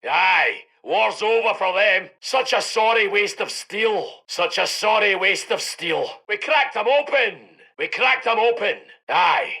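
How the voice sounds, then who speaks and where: A man voicing a brash, foul-mouthed Scottish heavy tank commander in a war video game, with a gravelly, commanding delivery. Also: high voice, animated, slow pace, few pauses, pushed and bright, heavily acted. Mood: calm, businesslike. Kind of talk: military game unit voice lines.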